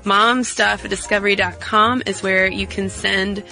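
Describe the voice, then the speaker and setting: Woman podcast co-host, bright crisp tone, chatty, speaks directly to listeners, faint music underneath. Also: medium pitch, steady tone, normal speed. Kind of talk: casual podcast chat.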